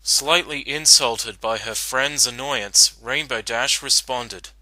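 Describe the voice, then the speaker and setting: A man narrating a dramatic reading of fan fiction in an earnest, deliberate storytelling manner. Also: mid-range voice, bright, forceful tone, no room sound, rough recording.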